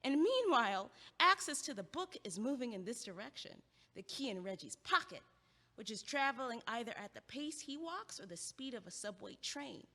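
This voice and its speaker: Woman acting as quirky female student, young, highish bright voice, argumentative, sardonic, audio-play delivery. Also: sing-song, normal speed, exaggerated voice. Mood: wry. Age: young adult. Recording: long echoing hallway reverb.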